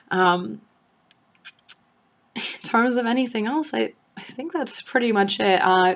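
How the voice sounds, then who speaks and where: A woman's voice: mid-range voice, dull audio. A young woman in her twenties giving an earnest audition statement for a student leader role, thoughtful and full of ums and restarts. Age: 20s. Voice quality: loud voice. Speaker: student applying for section leader.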